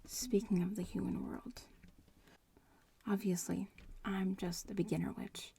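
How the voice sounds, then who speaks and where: A woman voicing a young witch-in-training in an ASMR roleplay, murmuring and whispering with a creaky, breathy voice and a nonchalant drawl. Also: medium pitch, little pitch movement, measured pace, mellow, slight background noise. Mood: nonchalant, explaining. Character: casual young witch-in-training, bratty schoolmate.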